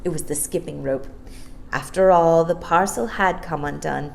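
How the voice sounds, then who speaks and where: A woman's voice: sits low, moderate pace, vocal fry. A woman narrating a children's story expressively from a script as a storyteller.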